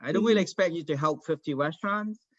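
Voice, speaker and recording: A man's voice: fairly high pitch, quick pace. Man in a muffled, echoey online meeting. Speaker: meeting host. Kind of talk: informal online meeting moderation. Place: online group video call. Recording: muffled, echoey video call audio.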